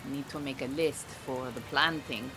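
An actress playing a proud, disillusioned Iranian refugee beekeeper in an audio drama, speaking in formal, dry, exacting phrases. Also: female voice, very deep voice, measured pace, noisy background. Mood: dry, certain. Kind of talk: naturalistic audio drama acting.

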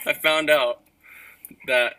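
A man's voice: on the high side, fairly even intonation, takes it slowly, bright, forceful tone. A man making prank phone calls, speaking hesitantly, stammering and repeating his phrases. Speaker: prank caller. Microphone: phone line. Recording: noisy phone call recording.

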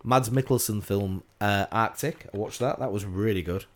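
A man's voice: fairly low pitch, normal speed. A man in his thirties co-hosting a podcast, talking in an easygoing, rambling way with fillers. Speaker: podcast co-host. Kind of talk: casual chat.

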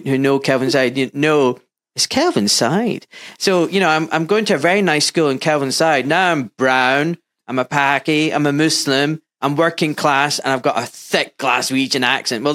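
A man's voice: a little high, fairly bright tone. A man in his fifties from Glasgow with a mild Scottish accent, a surgeon telling his story earnestly and emphatically as an interview guest. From Glasgow, Scotland. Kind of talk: candid interview talk.

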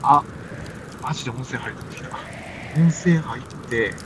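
A man's voice: mid-range voice, moderate pace, lightly acted. A man with a Kansai accent playing an anxious, weary stranded climber in an amateur comic radio drama, earnest and exclamatory. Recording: noisy amateur recording.

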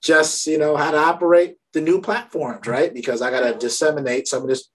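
A man in his thirties from Brooklyn hosting an interview, speaking in a relaxed, easygoing way and often adding "you know" and "right?". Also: fairly high pitch, fairly quick, husky. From Brooklyn, New York. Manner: laid-back, frequent "you know" and "right?". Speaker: podcast host. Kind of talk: casual interview chat.

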